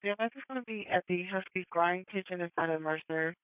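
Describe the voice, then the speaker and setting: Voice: medium pitch, fast, loud music behind the voice. A dispatcher relaying police calls over a radio channel, through narrow scanner audio. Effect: radio transmission. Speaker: emergency dispatcher.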